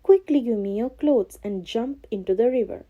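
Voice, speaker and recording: A woman's voice: a little high, normal speed, warm tone, lo-fi sound, voice pushed up, close to an everyday voice. A woman voicing a clever, bold male cat from a storybook, courteous and coaxing in a theatrical way. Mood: urgent.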